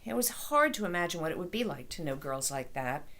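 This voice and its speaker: A woman author reading a children's story aloud in an expressive storytelling style. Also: fairly low pitch, brisk.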